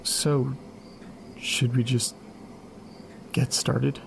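A man acting in a naturalistic audio drama as a laid-back, sleepy, awkwardly friendly guy with a gravelly, husky voice. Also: mid-range voice, little pitch movement, extremely slow, slight background noise, exaggerated voice. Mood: casual, curious. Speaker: lead actor in horror audio drama.

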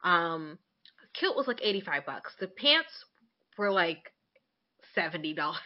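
A woman's voice: fairly low pitch, big pitch swings, a little slow, dull audio. A woman co-hosting a casual chat show, talking in a candid, sarcastic way with casual swearing. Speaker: podcast co-host.

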